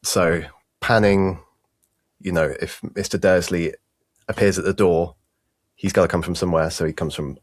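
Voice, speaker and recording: Deep voiced sound guy chatting in an interview, stop-start phrasing, dry audio. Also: steady tone, dry recording. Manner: halting, loosely phrased. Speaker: sound designer. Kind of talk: casual interview talk.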